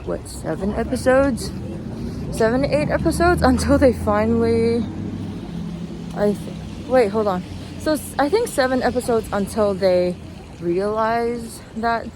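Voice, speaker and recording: A young adult woman giving casual, rambling commentary as a vlogger, informal and hesitant, with trailing thoughts, recorded outdoors while walking. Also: mid-range voice. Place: outdoors, walking along a street. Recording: noisy outdoor recording with traffic ambience. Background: clear street and vehicle noise.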